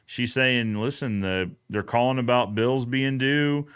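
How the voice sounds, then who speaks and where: A man's voice: medium pitch, measured pace, fairly bright tone, clean tone. A man hosting a casual live recap show, talking in an agreeable, conversational way and often checking in with "right?". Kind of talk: casual live podcast chat.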